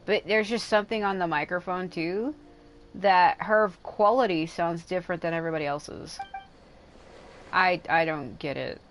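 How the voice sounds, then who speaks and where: A woman's voice: lowish voice, normal speed, a little hiss or hum, low-quality recording. A woman casually commenting on a video game as a livestreamer, in informal, slangy talk. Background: game music.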